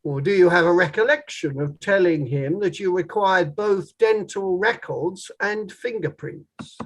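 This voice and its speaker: A man playing a formal, probing barrister who questions in a measured way, in a table read of a courtroom play. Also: sits high. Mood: methodical questioning. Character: probing male inquiry barrister, formal and methodical.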